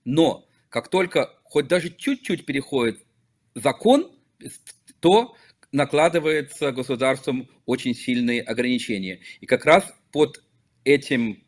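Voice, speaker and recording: A middle-aged man from Belarus speaks over a video call from his office, his voice at an ordinary middle pitch. He answers in a measured, emphatic way, pausing and correcting himself as he goes. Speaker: interview guest, local politician and activist. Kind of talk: earnest remote interview answers. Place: office with small desk flags, indoors. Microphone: video call webcam.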